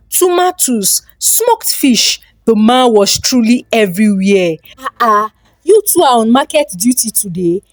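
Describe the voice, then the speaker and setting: A woman storyteller with a Nigerian accent, giving an expressive, dramatic narration of a scripted folktale. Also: a little high, dry recording, studio quality.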